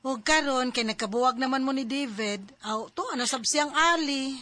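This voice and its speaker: A high, sharp young woman's voice as the gossipy best friend in a radio drama. She is frank and insistent. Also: moderate pace, no room sound. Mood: revealing gossip.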